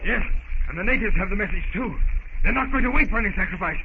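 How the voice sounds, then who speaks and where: Anxious captive professor, high-pitched, old radio adventure style through heavy static. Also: male voice, expressive, fast talker. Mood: excited. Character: anxious learned professor held captive in the jungle. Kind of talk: 1930s radio serial acting. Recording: tinny, very noisy 1930s radio recording.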